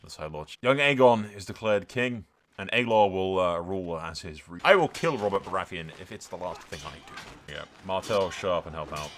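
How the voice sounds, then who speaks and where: A man hosting a gaming video, giving casual, excitable and sweary commentary with some roleplay storytelling. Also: low voice, normal speed.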